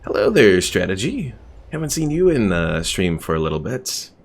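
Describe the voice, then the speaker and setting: Gaming streamer, male, deep and gravelly, casual let's-play narration with a relaxed chat to viewers. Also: moderate pace. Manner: relaxed, thinking aloud, chatting to viewers. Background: game music.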